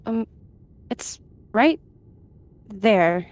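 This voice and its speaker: A woman's voice: medium pitch, expressive, very slow, darkish tone. A woman voicing a young, anxious and huffy female clan cat in a roleplay, hesitant and whiny. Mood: wary, hesitant.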